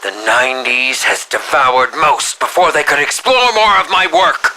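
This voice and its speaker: An actor playing a pompous, calculating villain who savors horror, delivering an ornate, theatrical and deliberate monologue for a video game. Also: male voice, fairly high pitch, pushed and bright, a little hiss or hum, rough recording. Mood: admiring intrigue. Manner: ornate, deliberate, theatrical, breathy dips into near-whisper. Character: sinister, pompous male filmmaker villain, coolly calculating connoisseur of horror.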